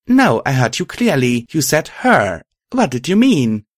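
Man voicing a young fantasy hero, defiant, challenging, angry, pitched up, dry audiobook sound. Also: big pitch swings, moderate pace, a bit bright, no echo. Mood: angry, insistent.

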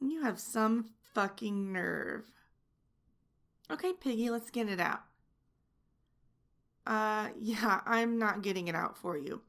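A young woman playing a sharp-tongued, domineering flight attendant who mocks and taunts. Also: a little high, creaky, exaggerated voice. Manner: mocking, teasing taunts. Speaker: voice actor in an audio roleplay. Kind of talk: adult audio roleplay.

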